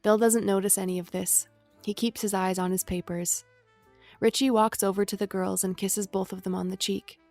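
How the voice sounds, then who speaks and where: A woman's voice: medium pitch, fairly even intonation, normal speed, darkish tone, no room sound, soft background music, natural voice. A woman narrating an audio drama, reading out scripted stage directions.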